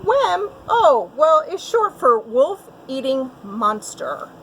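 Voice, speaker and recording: A woman voicing a silly, quick-witted male goose in a children's story, exclaiming as he spins a tall tale. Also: high voice, very animated, fairly bright tone, slight background noise, exaggerated voice, low-quality recording. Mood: earnest, bluffing.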